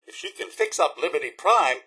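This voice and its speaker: Fussy high-pitched British robot butler. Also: male voice, big pitch swings, fairly quick, sharp tone, exaggerated voice. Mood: droll, courteous. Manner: formal, deferential, crisply pompous. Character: polite, fussy robot butler, loyal and droll. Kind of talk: theatrical video game character acting.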